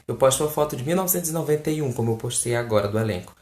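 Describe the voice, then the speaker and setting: A man with a Brazilian Portuguese accent talks straight to a phone camera, lively and exasperated, with mocking asides. His voice bounces around an echoey room. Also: mid-range voice, racing. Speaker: fan page host answering questions. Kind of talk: casual chat to camera. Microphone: phone recording.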